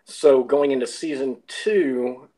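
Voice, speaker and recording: A man's voice: medium pitch, takes it slowly, mellow, low-quality recording. A man speaking as a guest in a casual discussion, hesitantly thinking aloud in long sentences. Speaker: audio drama cast member, podcast guest.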